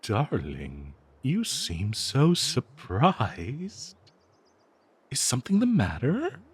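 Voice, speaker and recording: A man voicing a bloodthirsty, doting cursed dagger in an audio roleplay, with theatrical, halting, gushing talk full of endearments. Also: medium pitch, wildly swooping pitch, breathy edge, pitched above the performer's own voice, full character voice. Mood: gleeful, doting. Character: obsessive cursed talking dagger, bloodthirsty, doting, giddy, fawning.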